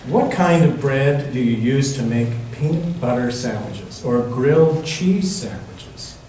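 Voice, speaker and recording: A man reading a picture book aloud to children in a church, pausing to put questions to them. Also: medium pitch, lively intonation, warm tone. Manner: pauses to ask the listening children questions. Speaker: church children's story reader. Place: large echoey church interior. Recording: very echoey church room, distant mic, faint noise.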